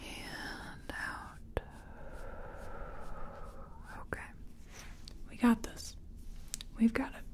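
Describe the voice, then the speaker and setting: A woman whispering softly and gently in a breathy voice, reassuring the listener in a close-up ASMR personal attention roleplay as a makeup artist. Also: extremely slow, long pauses. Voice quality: soft, breathy, mostly whispered. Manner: gentle, reassuring, murmured repetitions.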